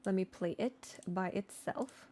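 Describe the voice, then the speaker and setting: A woman with a mid-pitched voice talks casually as she teaches singing technique on a livestream. She is informal and slangy, explaining things step by step and chatting with her viewers along the way. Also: a little slow, mellow, slight background noise. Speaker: streamer and singer teaching vocal layering. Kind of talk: casual livestream tutorial with chat replies.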